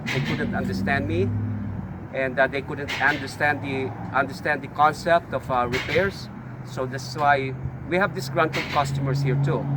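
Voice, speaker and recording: A middle-aged man with a Filipino accent, owner of an auto shop, talking casually and haltingly with many fillers and restarts as he tours his shop. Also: mid-range voice. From Philippines. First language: a Philippine language. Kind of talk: casual shop tour vlog. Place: outdoor auto shop lot. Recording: outdoor street and traffic noise.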